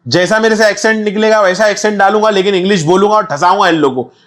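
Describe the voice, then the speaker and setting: A man's voice: sits high, rapid-fire, fairly bright tone. A young man, a guest on a podcast, speaking candidly and reflectively in casual street slang. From India. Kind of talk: casual interview chat.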